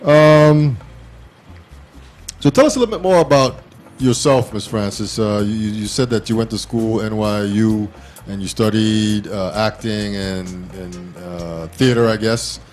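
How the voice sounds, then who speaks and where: A man hosting a talk show, interviewing casually with halting, informal questions. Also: fairly low pitch, a little mellow, soft background music.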